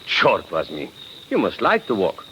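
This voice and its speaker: An actor playing a chatty, condescending government official of Tsarist Russia in 1940s radio drama, talkative and given to exclamation. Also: male voice, fairly low pitch, huge pitch swings, a little slow, bright, forceful tone, full character voice. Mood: amiable, idle. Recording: dull, noisy old 1940s radio recording.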